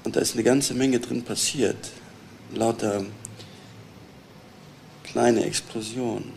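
Edgy pub-going witness, gravelly grumbler, crime drama, noticeable background noise. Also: male voice, mid-range voice, steady tone, slow pace, darkish tone. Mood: brooding. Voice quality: creaky, gravelly. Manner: irritable, grumbling. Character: edgy, restless man haunting pubs, hunted witness. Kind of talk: naturalistic crime film acting. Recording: noisy room.

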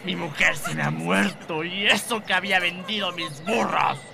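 A man's voice: high voice, normal speed, vocal fry. A man playing a poor, drunken, grieving Mexican widower who pleads and wails in a radio drama. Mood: desperate, pleading. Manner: pleading, wailing. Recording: reverberant with faint outdoor noise.

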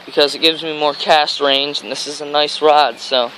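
A man's voice: a little high, fairly even intonation, moderate pace, bright, forceful tone, low-quality recording. An American man narrating a casual fishing vlog in a rambling, enthusiastic way, recorded on a handheld camera outdoors. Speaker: fishing vlogger. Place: outdoors on a grassy riverbank. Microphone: handheld camera mic. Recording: outdoor handheld camera, stream and traffic noise.

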